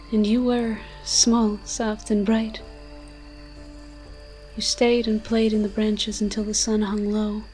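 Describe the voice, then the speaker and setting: A woman's voice: mid-range voice, darkish tone, natural voice. A woman voicing an ancient, moss-covered forest demon in an audio roleplay, tender and protective, speaking in solemn, poetic, archaic storytelling phrases.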